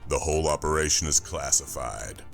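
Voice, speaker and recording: Man playing Burke, gravelly stoic captive operative. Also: extremely low pitch, fairly quick, soft background music. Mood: dry, smug. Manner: dry, terse.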